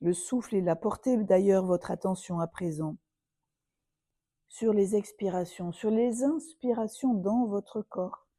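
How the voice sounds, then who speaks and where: Very mellow, slow-speaking woman guiding relaxation. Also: mid-range voice, no echo. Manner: soothing, unhurried, with long pauses. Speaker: yoga teacher. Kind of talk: guided relaxation meditation.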